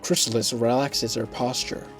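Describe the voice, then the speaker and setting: A man's voice: mid-range voice, steady tone, moderate pace. Male audio drama narrator over music. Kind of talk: scripted narration of an audio drama, reading stage directions.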